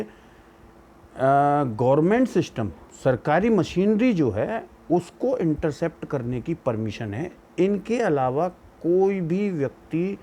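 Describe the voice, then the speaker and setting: Indian male legal explainer, fairly high but soft, mellow voice, informal lecture delivery with English terms mixed in. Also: a little hiss or hum.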